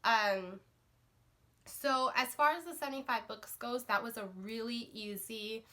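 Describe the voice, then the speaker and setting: A woman's voice: fairly high pitch, a little slow, pushed and bright. A woman book vlogger getting over a cold, chatting to camera in a rambling way with lots of fillers and restarts. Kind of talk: casual chat to camera.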